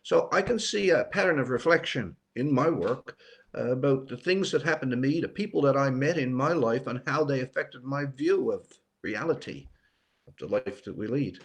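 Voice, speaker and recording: An older man from Newfoundland answering interview questions reflectively, pausing often to search for words. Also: medium pitch, expressive. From rural Newfoundland, Canada. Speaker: author and retired teacher as guest.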